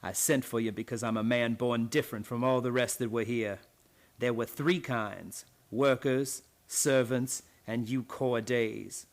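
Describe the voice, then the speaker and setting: An actor playing a bitter old servant in a radio drama, speaking in deliberate, folksy, menacing phrases. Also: male voice, mid-range voice, fairly even intonation, lightly acted. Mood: bitter, gloating. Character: bitter old servant boatman, gloating with lifelong hatred.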